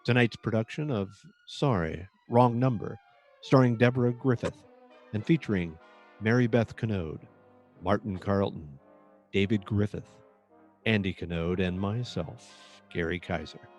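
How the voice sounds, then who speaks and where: A man announcing as narrator of an old-time radio suspense show, measured and theatrical with dramatic pauses. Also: on the low side. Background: brass instrument music.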